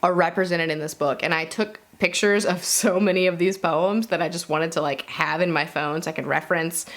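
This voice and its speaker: A woman's voice: on the low side, fairly even intonation, fairly quick, a bit bright. A woman talking casually and informally to viewers as a reviewer, peppering her speech with "like". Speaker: book reviewer and video host. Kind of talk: casual monthly reading wrap-up to viewers.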